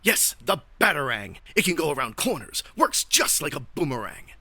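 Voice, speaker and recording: A man's voice: on the high side, big pitch swings, normal speed, pushed and bright, no room sound, exaggerated voice. A man playing an earnest, commanding, matter-of-fact caped crime-fighter in a comedic radio play. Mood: confident.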